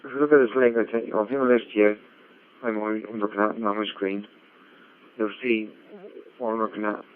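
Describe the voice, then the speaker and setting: Even, low man over dull radio. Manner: halting, repeats phrases. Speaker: amateur radio operator. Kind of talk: casual ham radio chat. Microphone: radio link played through a computer.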